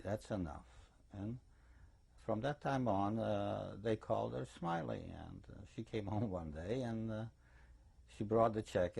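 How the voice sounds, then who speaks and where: Older man, gravelly Eastern European accent. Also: lowish voice, a little mellow. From eastern Czechoslovakia. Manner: deliberate, anecdotal storytelling. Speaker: interviewee giving survivor testimony. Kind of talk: recorded oral history testimony.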